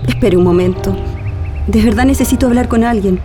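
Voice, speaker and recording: A woman playing an earnest, grieving daughter in a radio drama, with a Chilean accent and a halting, emotional delivery. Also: fairly high pitch, close to an everyday voice. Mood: wistful, grieving. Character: grieving daughter Mónica, earnest, wistful, pleading adult woman. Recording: radio play with music underneath.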